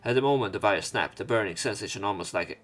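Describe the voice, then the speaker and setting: A man's voice: fairly low pitch, fast talker, soft background music. A man hosting a let's play, reading visual novel narration aloud in a dramatic way, with commentary. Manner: acts out lines and exclamations.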